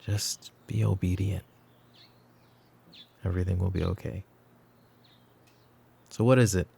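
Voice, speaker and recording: A man playing a weary middle-aged mafia boss in a roleplay audio drama, stern but protective, speaking in a measured, commanding way. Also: steady tone, mellow, slight background noise, heavily acted. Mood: firm, businesslike. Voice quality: low, gravelly.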